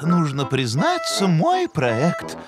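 A lofty, lecturing cartoon moose scientist, swinging wildly through his pitch as he holds forth. He exclaims like a stage professor. A music bed plays clearly behind him. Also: huge pitch swings, slow, heavily acted. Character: pompous bookish male moose scientist. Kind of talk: cartoon voice acting.

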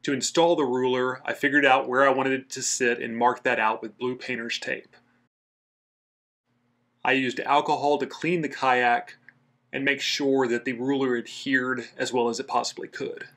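Guy doing voiceover for a diy how-to video, medium pitch, explains steps like a host. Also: flat delivery, normal speed, fairly bright tone. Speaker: host of a DIY kayak fishing channel. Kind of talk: scripted how-to voice-over narration.

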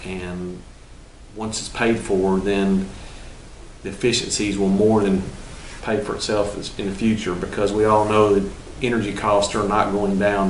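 A middle-aged man, a business partner, explaining in a measured, halting, plain-spoken way in an indoor room, recorded on a camera mic from some distance. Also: on the low side, a little hiss or hum. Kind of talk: interview explanation. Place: indoor room with red backdrop. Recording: roomy echo, from the mic.

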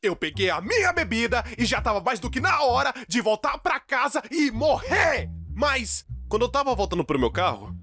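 A man with a Brazilian accent narrating a comedic animated story in a theatrical, exaggerated way. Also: normal speed, faint music behind. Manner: theatrical, exaggerated, swinging from low mutters to shrill outbursts.

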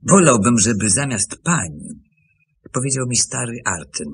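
An actor playing a bitter, determined man in a radio drama, brooding and emphatic, with a husky, gravelly voice. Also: medium pitch, sing-song, fairly bright tone, heavily acted. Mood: restrained, resentful. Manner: brooding, emphatic, halting outbursts. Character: bitter younger man, wronged former apprentice, agitated and determined. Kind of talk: Polish radio drama acting.

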